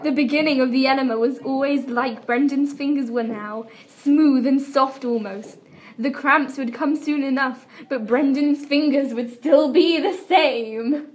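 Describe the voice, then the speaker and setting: High-pitched young woman doing a dramatic reading. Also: a little slow. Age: young adult. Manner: giggly, breaking into laughter while reading. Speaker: amateur reader. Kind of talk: dramatic reading of fan fiction. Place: room at a school.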